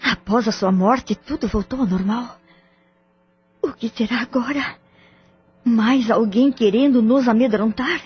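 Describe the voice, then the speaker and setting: Woman playing husky, proud Brazilian wife. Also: a little high, a little slow, pauses often, dull audio. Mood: worried. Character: proud, wounded middle-aged wife and mother. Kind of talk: audio drama acting. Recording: band-limited recording with music bed.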